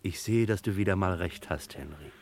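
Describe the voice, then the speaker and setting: An actor playing a plain-spoken, deferential sidekick, laconic and repetitive, in a 1960s radio crime play. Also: male voice, sits low, moderate pace, a little hiss or hum, close to an everyday voice. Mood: matter-of-fact.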